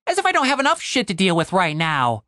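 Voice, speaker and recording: A sarcastic little halfling man, played by a woman, pitched high and swinging all over. He is crude, whiny and exasperated, always ready to argue in a comic fantasy audiobook, in a very dry recording. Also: big pitch swings, normal speed, a bit bright, no echo. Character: sarcastic exasperated wisecracking male halfling adventurer.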